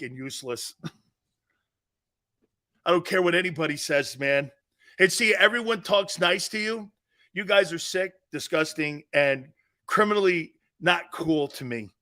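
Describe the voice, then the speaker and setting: A man's voice: on the high side, animated, a little slow, gravelly, long pauses, bright, forceful tone. A middle-aged man hosting a live sports talk show, joking and rambling, laughing often as he talks. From Italian-American family.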